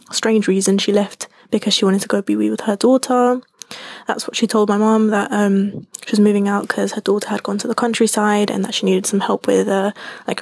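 A young woman with a mixed Colombian and British accent tells a personal story, drifting through fillers and restarts. Her voice has a gravelly fry and stays flat in pitch. It is recorded close and dry, with no room sound. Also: dry recording. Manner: rambling, many fillers and restarts. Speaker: listener sending in a story.

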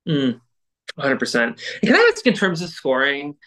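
A man co-hosts an interview in a casual, chatty style. His voice is bright and crisp-edged, sitting a little higher than most men's. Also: huge pitch swings, moderate pace. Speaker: podcast co-host.

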